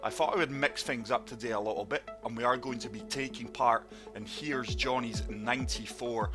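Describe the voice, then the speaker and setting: A man with a sore throat, hosting his gaming channel and narrating a golf game in calm, steady play-by-play. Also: mid-range voice, moderate pace, music in the background. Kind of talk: casual gameplay commentary.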